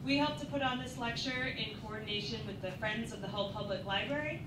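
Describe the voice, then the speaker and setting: A woman's voice: on the high side, fairly quick. A young woman hosting a lecture evening, introducing the speaker in a friendly, formal way while holding a handheld mic in a small hall. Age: young adult. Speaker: event host, visitor services supervisor. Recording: echoey hall, distant mic, noise.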